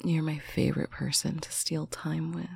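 Soft gravelly whisper-close voice. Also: mid-range voice, little pitch movement, normal speed. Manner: soft, affectionate, often whispered. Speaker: long-distance partner on a bedtime call. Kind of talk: intimate roleplay monologue to the listener.

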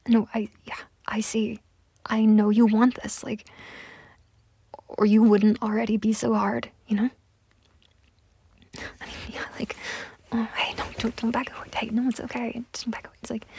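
A woman's voice: fairly high pitch, darkish tone, heavily acted. A young woman voicing a pushy, entitled woman on a date in a one-sided audio roleplay, talking in a close, whispery way with lots of swearing. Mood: insistent. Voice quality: husky, breathy, gravelly. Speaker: voice actor.

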